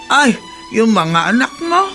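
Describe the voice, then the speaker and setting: Concerned older lady in a radio drama, bright voice, really swoopy and emphatic. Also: fairly high pitch, big pitch swings, takes it slowly, soft background music, full character voice. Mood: concerned, reassuring. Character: concerned older woman, friend of an old man.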